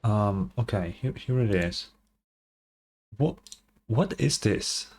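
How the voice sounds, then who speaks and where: A man's voice: on the low side, lively intonation, takes it slowly, a little mellow. A man giving casual, slangy live reactions as a game streamer, thinking aloud and swearing freely. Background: faint game music.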